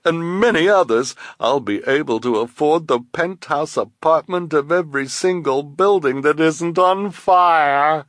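High-pitched, gushing villainess. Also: male voice, measured pace, few pauses, a bit bright, no room sound, studio quality. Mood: gloating. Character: greedy, gushing, false-smiling villainess.

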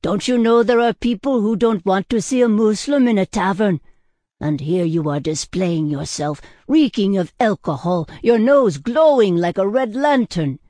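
A man's voice: high-pitched, expressive, measured pace, creaky, a little mellow, breathy edge, no echo, clean studio sound, full character voice. A man voicing a blunt but kindly tavern owner, exasperated and protective, in an audiobook style. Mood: worried scolding. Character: blunt, worried, kindly male tavern owner, scolding a friend. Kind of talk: audiobook character acting.

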